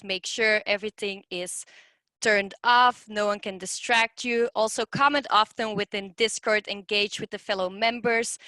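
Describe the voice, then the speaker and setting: A woman's voice: medium pitch, pushed and bright, no echo, poor audio. A Belgian woman whose first language is Dutch, speaking English with a Dutch accent, earnestly telling her story as a community manager. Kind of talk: personal story and class instructions.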